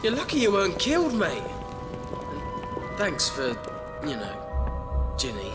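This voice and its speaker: A man's voice: high voice, wildly swooping pitch, measured pace, heavily acted. A man playing a blunt, matey teenage British wizard in a video game, colloquial in manner. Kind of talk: video game cutscene acting. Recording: loud music behind.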